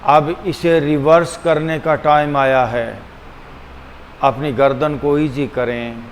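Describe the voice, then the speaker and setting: A man with a slightly high voice leads a guided meditation at a very slow pace. He gives instructions deliberately, repeating phrases and leaving pauses between them. The recording carries a long, hall-like reverb. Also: steady tone. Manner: deliberate, repetitive, with frequent pauses; switches between Hindi-Urdu and English. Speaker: yoga and meditation teacher. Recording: long hall reverb, faint background hum.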